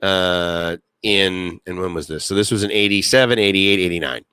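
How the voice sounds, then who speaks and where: A man's voice: low voice, steady tone, moderate pace, bright, forceful tone, clean tone, dry recording, clean studio sound. A man, hosting a casual live stream, rambling with many fillers and reading chat comments aloud.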